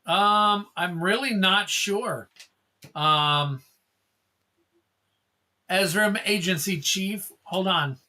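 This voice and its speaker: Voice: medium pitch, very slow, pauses often, bright, forceful tone. A livestream host opening card packs, chatting casually, calling out card names and thanking viewers in a rambling way.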